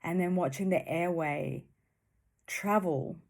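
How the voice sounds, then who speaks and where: Very slow, low-pitched woman guiding meditation. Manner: soothing, gentle, with long pauses between short phrases. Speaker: meditation guide. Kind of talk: guided meditation.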